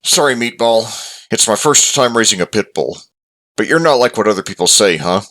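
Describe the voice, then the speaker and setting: Man as a low-voiced, gentle pet owner. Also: expressive, brisk. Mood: kindly. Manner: reassuring, coaxing pet talk. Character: kind, gentle male pet owner welcoming adopted dogs. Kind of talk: character dialogue in a visual novel.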